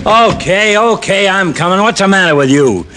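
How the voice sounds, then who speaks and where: A grumpy blunt grocer, raised pitch, lively voice, bright tone. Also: male voice, normal speed, over music. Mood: gruff. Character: gruff grocer Barney, middle-aged shopkeeper. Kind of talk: 1950s radio drama acting.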